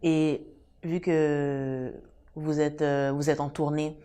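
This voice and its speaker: A woman's voice: low voice, level tone, slow, mellow, clear, resonant tone. A young woman hosting an interview show, asking long, rambling questions with many fillers.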